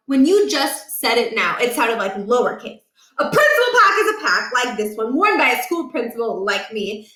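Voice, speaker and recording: A woman's voice: sits high, bright, forceful tone, roomy sound, heavily acted, rough recording. A woman voicing a pompous, fussy middle-aged male school principal who talks in a stiff, formal way.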